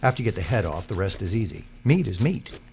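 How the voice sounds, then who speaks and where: A low-voiced, world-weary hardened labourer. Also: male voice, big pitch swings, fast talker, darkish tone, slight background noise. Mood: hardened, blunt. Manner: blunt, weary, sardonic. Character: world-weary, hardened male labourer, blunt old hand. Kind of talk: audio drama acting.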